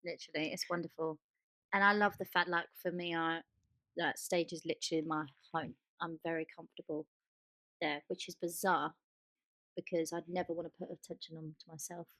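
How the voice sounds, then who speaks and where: A woman's voice: on the low side, level tone, brisk, sharp tone, no room sound. A woman co-hosting a podcast in casual chat, gushing and emphatic, often repeating herself for stress. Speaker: podcast co-host.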